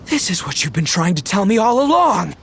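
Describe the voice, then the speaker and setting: A man's voice: high-pitched, big pitch swings, brisk, background noise. An actor playing a boisterous, theatrical young man in a video game, earnest and bombastic. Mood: fired-up resolve. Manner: bombastic, dramatic, swinging from solemn to shouting. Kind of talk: animated video game acting.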